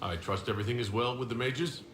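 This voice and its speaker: A man's voice: medium pitch, fast talker, lightly acted. A man voicing a composed, inquisitive fantasy leader in a video game, speaking directly and mostly in questions. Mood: composed, curious. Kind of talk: video game dialogue acting. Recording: roomy echo, clearly noisy game capture.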